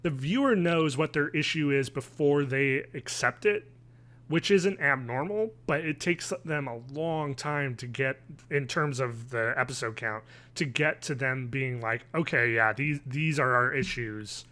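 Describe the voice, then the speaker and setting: A man, chatting casually and haltingly as a podcast co-host from home. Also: mid-range voice, pushed and bright. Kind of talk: casual review chat. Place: home living room, video call.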